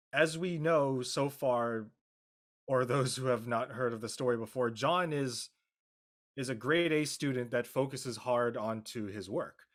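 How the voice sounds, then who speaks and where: Halting man on a casual panel. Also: medium pitch, a bit bright. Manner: halting, searching for words. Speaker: voice actor on a live show. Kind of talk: introducing his character, casual panel talk.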